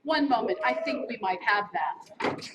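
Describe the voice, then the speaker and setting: A woman's voice: a little high, expressive, measured pace. A woman co-presenting a live awards show in a playful, chatty way from the stage. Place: live event stage before an audience. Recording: noisy live event stage microphone.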